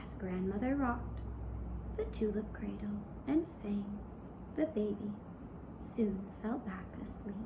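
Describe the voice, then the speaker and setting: A woman narrating a fairy tale for a puppet show in a gentle, hushed, deliberate storytelling manner. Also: mid-range voice, reverberant, background noise. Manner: slow, hushed, deliberate storytelling in short phrases.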